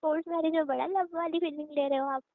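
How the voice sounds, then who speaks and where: A woman's voice: high-pitched, steady tone, fast. A woman playing a playful, affectionate and slightly stubborn young wife in a casual domestic audio roleplay, talking in an informal, teasing way. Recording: tinny phone-like band, roomy echo.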